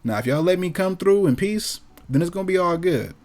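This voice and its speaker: A man's voice: high-pitched, animated, fairly quick, a little mellow. Emphatic, slangy man hosting casually. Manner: emphatic, slangy, profane, opinionated. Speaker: host of a gaming talk show. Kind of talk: casual chat about video game movies and anime.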